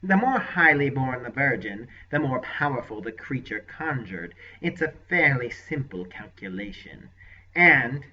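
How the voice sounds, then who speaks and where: A man voicing a scheming male sorcerer with a deeply nasal, unctuous, smug manner in an audiobook. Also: animated, normal speed, few pauses, pushed and bright, far from the mic. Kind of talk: audiobook character voice. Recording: lo-fi dull recording.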